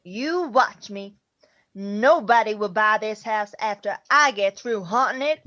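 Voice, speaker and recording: A woman's voice: mid-range voice, measured pace, sharp tone. Woman playing a feisty ghost grandmother, dull recording. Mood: vengeful, defiant. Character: feisty ghost grandmother, vengeful, nosy, haunting her old house. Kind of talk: amateur radio drama acting.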